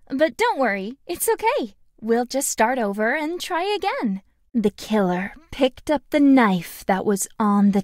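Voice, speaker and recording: A woman voicing a cheerful, friendly schoolgirl in a video game, speaking in a sweet, gentle and deliberate way. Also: fairly high pitch, dry recording, studio quality. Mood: bubbly, encouraging. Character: cheerful, friendly anime schoolgirl narrating a play. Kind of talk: game tutorial voice acting, storytelling.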